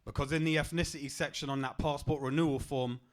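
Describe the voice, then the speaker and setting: A young man, doing a stand-up comedy set on a club stage with a deadpan, punchy delivery. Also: fast. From England. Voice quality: deep voice.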